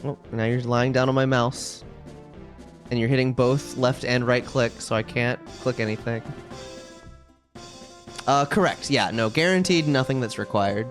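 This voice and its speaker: A video game streamer chatting casually with viewers on a live stream, rambling and thinking out loud. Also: mid-range voice, measured pace, pauses often, soft background music.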